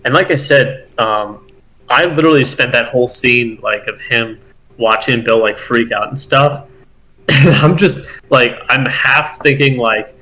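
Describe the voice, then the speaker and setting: A man talking loosely and at length in a casual podcast, full of fillers and restarts. His voice is bright and forceful. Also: medium pitch, a little slow, low-quality recording. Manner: rambling, animated, many fillers and restarts. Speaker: podcast co-host. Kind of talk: casual chat.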